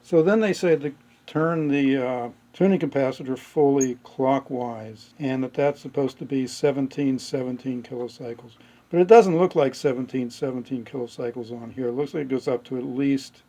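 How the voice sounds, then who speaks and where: A man's voice: mid-range voice, normal speed, dark tone. A man giving an unscripted hands-on technical walkthrough, thinking aloud in a matter-of-fact way. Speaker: presenter of a radio repair demonstration.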